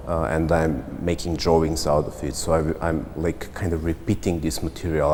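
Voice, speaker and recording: A man from central Serbia, an artist answering interview questions in a reflective, halting way with frequent restarts. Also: low-pitched, a little mellow. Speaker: visual artist. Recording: long reverb, faint background noise.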